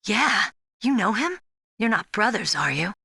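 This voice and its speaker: An actress playing a spirited, earnest young rookie soldier in a video game, speaking in a frank, expressive way. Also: female voice, medium pitch, unhurried, vocal fry, breathy edge, dry recording, studio quality, heavily acted. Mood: playful, curious. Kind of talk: dramatic video game voice acting.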